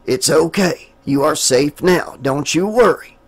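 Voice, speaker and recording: A man playing a calm, steady small-town sheriff. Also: on the high side, a little slow, slightly rough. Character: middle-aged male sheriff, calm and soothing.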